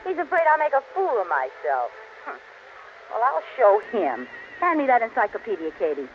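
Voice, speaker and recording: An actress playing an eager, scatterbrained housewife who shows off misused big words in a theatrical 1940s radio sitcom style. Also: female voice, a little high, wildly swooping pitch, moderate pace. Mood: determined, flustered. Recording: muffled, hissy old radio broadcast.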